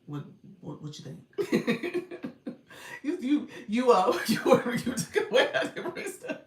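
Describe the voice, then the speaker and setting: A woman's voice: on the high side, wildly swooping pitch, takes it slowly, reverberant. A woman from Florida hosting a casual live stream, chatting with viewers, greeting them and laughing often. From Florida, USA. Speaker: live stream host and coach.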